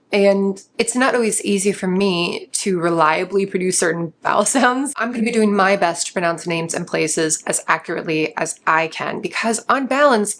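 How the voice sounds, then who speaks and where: A woman's voice: lowish voice, fairly bright tone, a little hiss or hum. Wry woman reviewing books. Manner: wry, playful asides with light laughs. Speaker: book reviewer. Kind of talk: scripted book review monologue.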